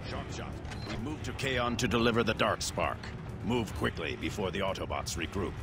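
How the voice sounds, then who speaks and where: An actor playing a cold, logical robot warrior scientist in a video game, speaking in a measured, formal, precise and commanding way. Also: male voice, lowish voice, natural voice. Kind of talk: video game cutscene voice acting. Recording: loud music over voice.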